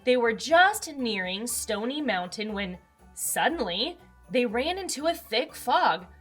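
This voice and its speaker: An American woman tells a picture-book story to young children as a read-aloud host. Her voice is fairly high and highly expressive, and she takes her time. Music plays behind her. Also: measured pace, breathy edge. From Arizona, United States.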